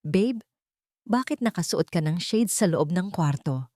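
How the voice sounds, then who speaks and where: A woman's voice: lowish voice, expressive, a little slow, mellow, dry recording, clean studio sound. A woman voicing a scheming, falsely tender husband in an audiobook drama, coaxing and pushy.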